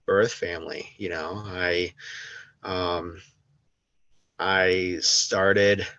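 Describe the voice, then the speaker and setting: A young man from the United States, a podcast guest talking slowly and hesitantly, with many pauses and restarts. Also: low-pitched, pushed and bright, no room sound, dull audio. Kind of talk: casual interview.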